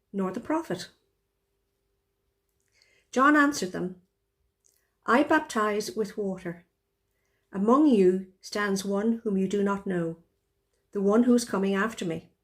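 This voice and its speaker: A woman reads scripture aloud as a lay reader. Her voice sits at an average pitch as she delivers the written passage. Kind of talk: scripted Bible reading aloud.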